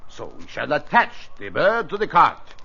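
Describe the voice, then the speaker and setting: Excitable high-pitched French sea captain. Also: male voice, moderate pace, vocal fry, husky, full character voice. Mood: eager, impatient. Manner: theatrical, exclamatory, commanding. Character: excitable, obsessive French sea captain, imperious and hot-tempered. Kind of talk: old-time radio drama acting. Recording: dull, noisy old radio transcription.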